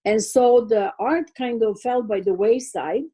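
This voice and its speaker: A woman's voice: a little high, warm tone, husky. An older woman from Serbia with a Serbian accent, answering interview questions as a guest artist over a video call, hesitant and full of fillers. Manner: hesitant, many fillers, slow thoughtful pace.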